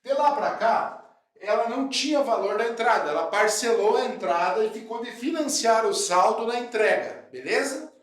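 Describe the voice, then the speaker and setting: A man's voice: very high voice, lively intonation, normal speed. A man from southern Brazil with a cold, explaining real estate deals to fellow brokers, chatty and often checking in with his listeners. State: has a cold. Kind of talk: explanatory talk to camera. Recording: very echoey room.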